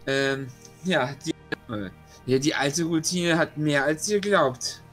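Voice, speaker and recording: Higher-voiced man at a gaming table. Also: slow pace, a bit bright, faint music behind. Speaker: tabletop role-playing player. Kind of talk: casual in-character role-play and table chat.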